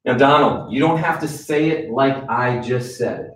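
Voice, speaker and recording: A man in his forties leading a live sales training in a conference room, with direct, animated coaching and frequent check-ins. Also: medium pitch, moderate pace. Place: conference room with a wall screen. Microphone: far camera. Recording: echoey room from far off.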